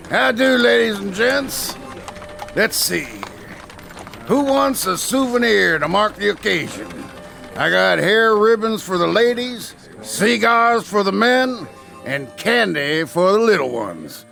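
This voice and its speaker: High, gravelly, wily American huckster. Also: male voice, lively intonation, takes it slowly, pushed and bright, faint music behind, full character voice. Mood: showy, hawking. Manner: showy sales patter, folksy. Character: wily, fast-talking traveling huckster in a western town. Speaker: actor in a radio drama. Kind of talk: theatrical radio drama acting.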